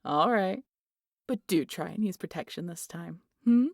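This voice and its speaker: A woman's voice: lowish voice, animated, a little slow, creaky, no room sound, studio quality, full character voice. A woman voicing a brash, teasing family friend who tells stories in a salty way in an audio roleplay. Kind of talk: audio roleplay acting, animated storytelling.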